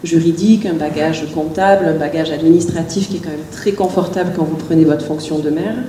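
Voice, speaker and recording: A woman's voice: deep voice, steady tone, brisk, warm tone, reverberant, recorded from a distance, a little hiss or hum. A middle-aged woman, a village mayor, answering questions on a panel, hesitant with many restarts and fillers.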